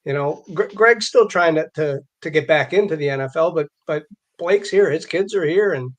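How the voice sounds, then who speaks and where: A man co-hosting a casual sports talk show, speaking haltingly as he repeats words and rephrases. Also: on the high side.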